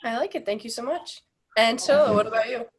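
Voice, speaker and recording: A woman's voice: a little high, brisk, breathy edge. A woman moderating an online panel over a video call, reading the guest introductions in an upbeat way.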